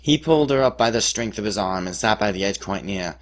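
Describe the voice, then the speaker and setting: A pompous balladeer acted by a man declaims a comic storytelling ballad. The voice is low, and the delivery is theatrical and emphatic, treating small misadventures as grand heroics. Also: expressive, a little fast, slight background noise, close to an everyday voice. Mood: mock-heroic. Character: mock-heroic balladeer, grandly recounting a young man's misadventure. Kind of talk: dramatic recitation of a comic rhyming ballad.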